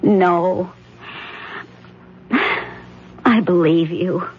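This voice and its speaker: A woman playing a frightened middle-aged wife of a judge in a 1970s radio drama, talking in an anxious, halting, stammering way. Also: on the low side, slightly rough, full character voice. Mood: frightened, pleading. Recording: dull old radio broadcast.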